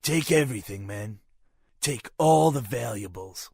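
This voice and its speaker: An actor voicing a menacing, greedy bandit in a fantasy video game. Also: male voice, medium pitch, lively intonation, measured pace, gravelly, darkish tone, slightly rough, no room sound, studio quality, full character voice. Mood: commanding.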